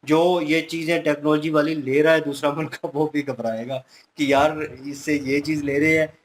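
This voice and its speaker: A man's voice: a little high, fairly even intonation, moderate pace, a little hiss or hum. A man giving casual, conversational reaction commentary as a video host, explaining things with everyday examples.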